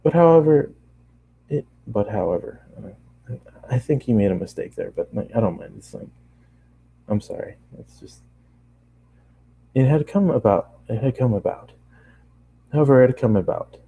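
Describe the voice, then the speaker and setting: A man's voice: lowish voice, expressive, unhurried, pauses often, dark tone, breathy edge, poor audio. A man narrating a story as an amateur voice actor, stumbling over words now and then and apologetic about it. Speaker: fan fiction reader, amateur voice actor. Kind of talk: story narration with casual asides.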